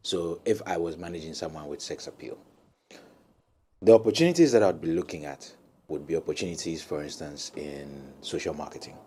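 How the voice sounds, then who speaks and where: A man talking as a guest brand consultant on a panel talk show, pausing with many ums, then growing emphatic. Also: a bass voice, slightly rough. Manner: hesitant, many ums, grows animated and emphatic.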